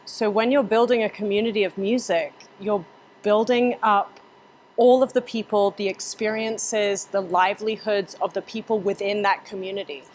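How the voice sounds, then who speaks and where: A woman from Hong Kong on a roundtable panel, speaking in a measured, articulate way. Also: medium pitch, steady tone, rough recording. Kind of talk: casual discussion.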